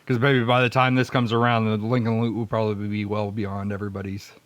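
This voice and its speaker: A man's voice: fairly low pitch, level tone, fast talker, no room sound. A man hosting a comedic radio drama read-through, sardonic and mock-dramatic, slipping into casual asides. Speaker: host and producer.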